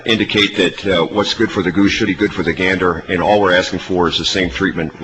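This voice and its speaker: A man giving formal, measured testimony as an industry representative at a public hearing. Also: sits low, fairly bright tone. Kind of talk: formal prepared testimony and answers. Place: large hearing room. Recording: reverberant hall, faint background noise.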